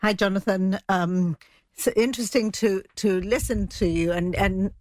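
A woman giving an unscripted news report as an editor, pausing to think as she goes. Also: medium pitch, no echo, clean studio sound.

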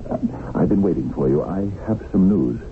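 An old tinny radio drama recording of a smitten businessman. His low voice jumps around in pitch as he stammers, flustered and hesitant. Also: lively intonation, normal speed. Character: smitten, flustered, nervous businessman courting a woman. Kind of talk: 1970s radio drama acting. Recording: tinny, lightly noisy old radio broadcast.

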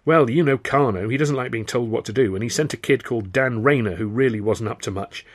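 A man's voice: medium pitch, fairly quick, lightly acted. A man playing an eager, flustered music-hall comedian who pleads and persuades in an audiobook. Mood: explaining. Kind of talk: audiobook character dialogue.

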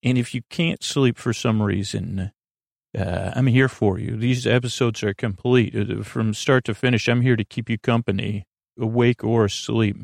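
A man hosting a bedtime podcast in a rambling monologue, halting and stammering with frequent false starts and self-corrections, in a gravelly voice. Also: on the low side, fairly even intonation, no room sound, studio quality. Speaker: podcast host.

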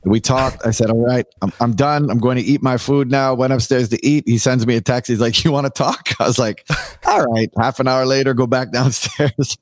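A man from Canada, a DJ guest on a podcast, talking with a raspy voice after long streams and telling stories with enthusiasm. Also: mid-range voice, moderate pace. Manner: enthusiastic, animated storytelling. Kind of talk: candid podcast conversation.

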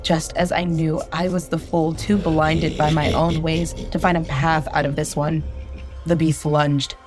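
Low-voiced woman narrating horror. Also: little pitch movement, measured pace, music in the background. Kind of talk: first-person horror narration.

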